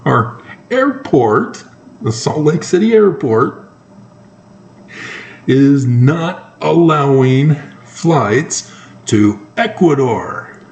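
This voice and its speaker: A man with a North American accent giving informal, sarcastic news commentary, halting often mid-sentence. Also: mid-range voice, big pitch swings, creaky, darkish tone. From North America, moved around the United States and Canada. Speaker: solo commentator. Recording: echoey room with faint background noise.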